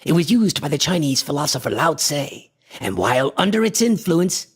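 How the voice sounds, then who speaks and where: Man acting a husky, grandiose obsessed visionary. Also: fairly high pitch, very animated, normal speed. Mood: feverish, determined. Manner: impassioned, declamatory, pompous. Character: obsessed male antiquarian visionary, eccentric and grandiose. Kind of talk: theatrical radio horror drama.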